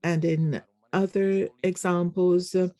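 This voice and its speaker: A conference interpreter giving a simultaneous English rendering of a panel discussion, halting often with fillers and pauses. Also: mid-range voice, little pitch movement, warm tone, no echo.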